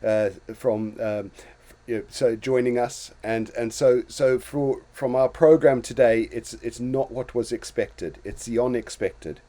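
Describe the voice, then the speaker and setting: A man's voice: medium pitch, fairly even intonation, a little slow, hardly any pauses, mellow. An older man from Zimbabwe hosting a casual talk show, reflecting on his own life in a storytelling way with many restarts and small chuckles. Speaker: host.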